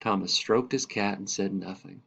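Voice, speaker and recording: A man's voice: low-pitched, moderate pace, gravelly, fairly bright tone, breathy edge. A man narrating a storybook read-aloud, recorded with slightly dull, lo-fi sound.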